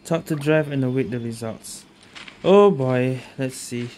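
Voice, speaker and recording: A woman's voice: very deep voice, unhurried, background noise. A woman giving casual gameplay commentary as a streamer, muttering and repeating short phrases. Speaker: gaming streamer.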